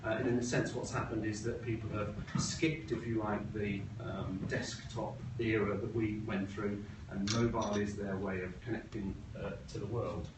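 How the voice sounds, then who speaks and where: A middle-aged British man presents to a business gathering in a measured, informal way with wry asides. His voice sits fairly low. He is picked up from a distance in an echoing room, with clear background noise. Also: flat delivery. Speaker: presenter, agency co-founder. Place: room at a talk event. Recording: roomy, noisy, distant mic.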